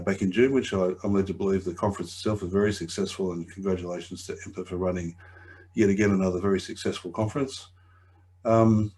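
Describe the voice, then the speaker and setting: Deep-voiced man, slightly croaky. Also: a little mellow, poor audio. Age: middle-aged. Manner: hesitant, repeats words, many ums. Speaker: webinar presenter. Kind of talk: conversational presentation.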